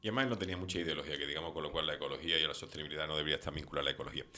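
Middle-aged guy hosting an interview, really low voice, talks fast. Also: pushed and bright. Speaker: host interviewer. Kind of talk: conversational interview with long opinionated questions.

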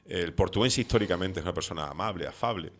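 A man's voice: deep voice, fast, a bit bright. A middle-aged man who is not from the islands, hosting an interview in a talkative, opinionated way with many fillers. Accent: non-Canarian Spanish. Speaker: radio show host. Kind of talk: conversational interview.

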